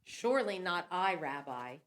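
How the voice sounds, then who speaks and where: A woman's voice: lowish voice, big pitch swings, unhurried, fairly bright tone, a little hiss or hum. A woman reading the part of Judas in a church passion play, in a plain earnest voice. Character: Judas, male disciple turned betrayer.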